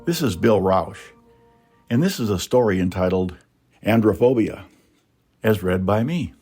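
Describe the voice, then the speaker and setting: A man's voice: lowish voice, a little slow, faint music behind. A man reading his own short story aloud in a dramatic, suspenseful first-person narration. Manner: expressive, suspenseful.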